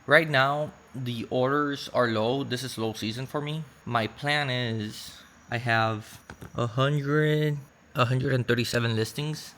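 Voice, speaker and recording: A man's voice: mid-range voice, fairly bright tone, slight background noise. A man whose first language is Spanish, vlogging casually about his online shop and walking through his screen, often correcting himself mid-sentence. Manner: rambling, self-correcting, uneven pace. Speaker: Etsy seller vlogger. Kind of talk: casual vlog with screen walkthrough.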